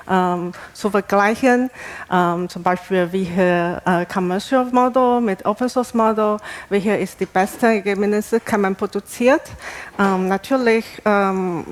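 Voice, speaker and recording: A woman researcher presents at a conference with a Taiwanese accent, a native Chinese speaker working in a second language. Her delivery is halting and hesitant, feeling its way from phrase to phrase. Her voice sits at an average pitch for a woman.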